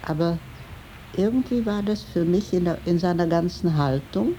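An older woman reminiscing casually as an interviewee, in a halting, emphatic, conversational way. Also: on the low side, mellow, slight background noise, poor audio. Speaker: eyewitness interviewee.

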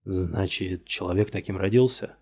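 A man voicing a weary male police detective in a storybook reading, interrogating bluntly in a low, husky voice. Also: normal speed, mellow, heavily acted. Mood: puzzled.

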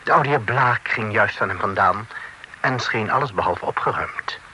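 An actor playing a talkative, worldly gentleman envoy in a period radio drama, speaking formally and floridly with French phrases sprinkled in. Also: fairly low pitch, normal speed. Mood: earnest narrating. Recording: noisy old radio play recording.

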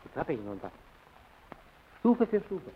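An actor playing a haunted, inward-listening man in a German radio drama, murmuring a fragmented, hushed interior monologue. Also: fairly high pitch, wildly swooping pitch, measured pace. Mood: haunted, secretive. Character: haunted, inward-listening man stealing through a foggy tower. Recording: muffled, phone-like old recording with hiss.

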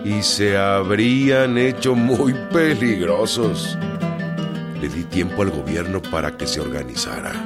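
An actor playing a plain-spoken, wary Mexican revolutionary cavalry commander in a radio drama, talking in a deliberate rustic way. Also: male voice, on the low side, lightly acted. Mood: firm, proud. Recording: music behind the voice.

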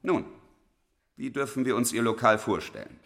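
An actor playing a formal Victorian public prosecutor in a German radio drama, declaiming and probing with theatrical authority. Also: male voice, medium pitch, expressive, moderate pace, gravelly, a bit bright.

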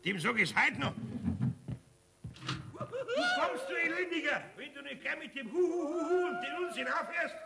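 A man playing a blunt, hot-tempered Bavarian card player in a 1970s radio play, with a gravelly, sharp voice. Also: extremely high pitch, noisy background, full character voice. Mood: loud scolding.

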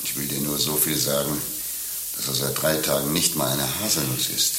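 A man's voice: a bass voice, fairly even intonation, moderate pace, pushed and bright, full character voice. A male actor playing a coarse, sardonic rogue in a satirical radio play, talking in a blunt, mocking, colloquial way. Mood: wry. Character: coarse, sardonic male rogue, grumbling about his lovesick friend. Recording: roomy radio play sound, faint hiss.

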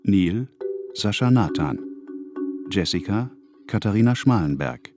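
A man's voice: lowish voice, animated. A man formally announcing the credits of a radio play. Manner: slow, formal. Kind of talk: broadcast announcement. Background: mallet percussion music.

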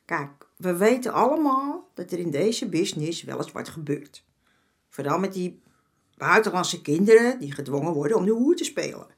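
A motherly older woman of the red-light district, speaks in a fairly low voice. She is frank and familiar, with a streetwise bluntness, in a naturalistic radio drama. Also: very animated, moderate pace. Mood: confiding, indignant. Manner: familiar, blunt, calls men "jongen".

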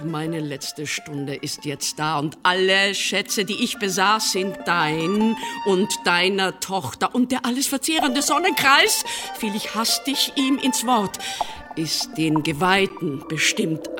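An actress playing a vengeful, bitter sorceress queen and mother in a radio play, speaking grandly and with command. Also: mid-range voice. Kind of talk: German radio play acting.

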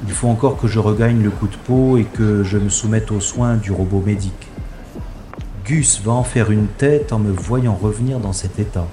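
An actor playing a wry, stubborn special agent who narrates his own science-fiction audio drama in a sardonic, deliberate way. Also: fairly low pitch, music in the background, close to an everyday voice. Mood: rueful, wry.

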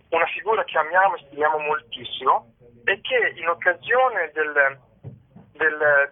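A man with a fairly high voice speaks over a narrow, tinny telephone line. He gives an interview about his work, thoughtful and halting, often pausing to find the right word. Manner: halting, thoughtful, searching for words. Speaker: theatre company co-founder being interviewed. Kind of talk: spoken interview, explaining stage works.

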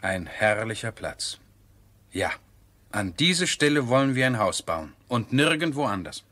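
An actor playing an enthusiastic, impulsive, amiable gentleman landowner in a radio drama. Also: medium pitch, big pitch swings, a little slow, full character voice. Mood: coaxing, excited. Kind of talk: German radio drama acting.

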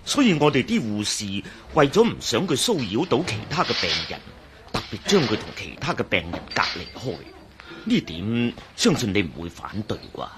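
An actor playing an obliging, careful hospital doctor in a radio drama, reassuring and murmuring, with a Hong Kong Cantonese accent. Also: male voice, medium pitch, very animated, normal speed, background noise, close to an everyday voice. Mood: advising.

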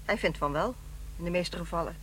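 A woman playing a brisk, unsentimental middle-aged ex-wife in a radio drama, matter-of-fact and dismissive. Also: fairly low pitch, fairly bright tone, slight background noise, close to an everyday voice, rough recording.

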